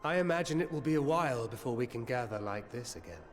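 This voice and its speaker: A dry, familiar comrade from a fantasy game played by a man. He has a mid-pitched voice, with music playing behind him. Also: lively intonation, fast, natural voice. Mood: wry. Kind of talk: video game cutscene acting.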